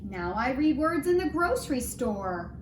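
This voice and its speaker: Animated, high-pitched woman teaching young children. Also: a little slow. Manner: sing-song, sounds out letters and words, addresses children directly with questions. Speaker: early reading teacher. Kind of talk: phonics lesson for young children, read-aloud. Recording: roomy echo, clear background noise.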